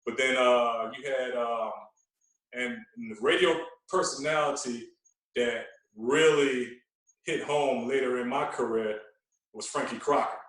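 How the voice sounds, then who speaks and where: A man's voice: mid-range voice, unhurried, fairly bright tone. Echoey, distant-sounding New man telling stories. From Queens, New York. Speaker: podcast guest, radio personality. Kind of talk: animated casual storytelling. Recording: very echoey room, distant from mic.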